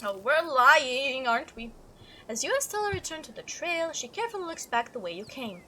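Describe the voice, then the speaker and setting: High, bright-voiced woman reading a game. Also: big pitch swings, brisk, a little hiss or hum. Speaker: gaming video host. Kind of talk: playful read-aloud of a visual novel with casual commentary.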